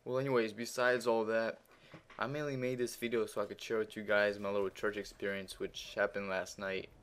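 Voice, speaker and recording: A man's voice: lowish voice, little pitch movement, brisk, clear, resonant tone. A teenage boy with a Russian accent telling a crude, profanity-filled story in a rambling way, with many fillers, while commenting over gameplay. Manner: grows louder and heated while retelling the argument. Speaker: gaming commentator.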